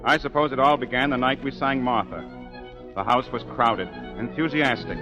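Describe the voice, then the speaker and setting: A man playing an opera singer who narrates a tale of old Paris in a measured, theatrical 1940s radio drama style. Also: mid-range voice, sharp tone, close to an everyday voice. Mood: reflective. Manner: measured, theatrical, atmospheric storytelling. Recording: 1940s radio broadcast with music underneath.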